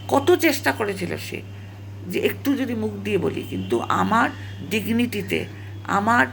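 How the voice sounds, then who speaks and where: A woman tells a personal story in an emotional, dramatic monologue, halting and repeating phrases for emphasis and dropping in English words. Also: lowish voice, gravelly, a bit bright, slight background noise. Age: 70s. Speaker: storyteller recalling own past.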